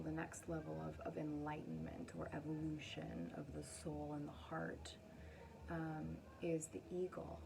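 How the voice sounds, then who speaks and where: A woman's voice: low-pitched, gravelly, background noise. A woman hosting a casual, chatty card reading, speaking effusively and affirmingly with rambling asides. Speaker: astrologer and tarot reader, channel host.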